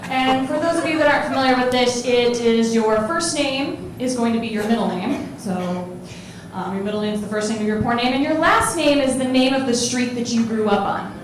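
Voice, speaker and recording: A woman's voice: on the high side, a little fast, a crowd in the background. A woman in her forties from Ohio doing a self-deprecating stand-up comedy set, chatty and full of ums. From Ohio, USA. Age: 40s. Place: comedy club stage, large room. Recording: roomy live club recording with noise.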